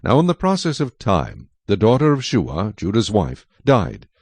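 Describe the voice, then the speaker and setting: A man's voice: fairly low pitch, huge pitch swings, measured pace, a little mellow, slightly rough, no echo. A man reading scripture as the narrator of a dramatized audio Bible.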